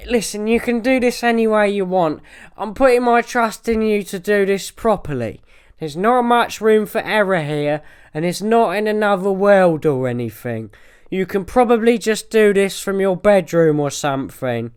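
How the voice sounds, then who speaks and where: A man's voice: sits high, animated, moderate pace, smooth. A man playing a stern, secretive father with a British accent and an urgent, bossy manner in an audiobook. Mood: instructing.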